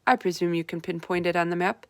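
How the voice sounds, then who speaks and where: Huffy male air marshal played by a woman, indignant, raised pitch. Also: flat delivery, fast, no room sound, close to an everyday voice.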